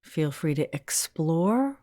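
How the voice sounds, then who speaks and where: A woman leading a guided meditation as a hypnotherapist, in a soothing, gentle and unhurried manner. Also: low-pitched, big pitch swings, no echo.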